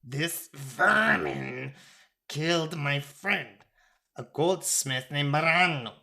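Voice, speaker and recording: An ancient god in feather-quill form, as acted by a storyteller, declaiming with lofty indignation in a raised voice. The delivery is grand and deliberate, fit for a fantasy story. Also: mid-range voice, creaky, bright, forceful tone, voice pushed up, full character voice. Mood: furious.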